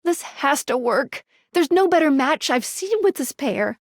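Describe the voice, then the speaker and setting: Grand female game character, high voice, slow and deliberate, earnest, dramatic, dry close sound. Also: lively intonation, no room sound. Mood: solemn, intent. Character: grand, earnest woman who remakes living objects as human. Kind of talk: theatrical game character acting.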